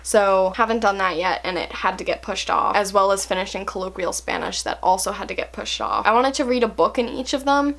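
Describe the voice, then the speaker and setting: A young woman chatting to camera in a casual, candid way, with plenty of fillers and little laughs. Her voice sits at an ordinary middle pitch for a woman. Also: fairly quick, vocal fry, few pauses, a bit bright. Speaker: language-learning vlogger.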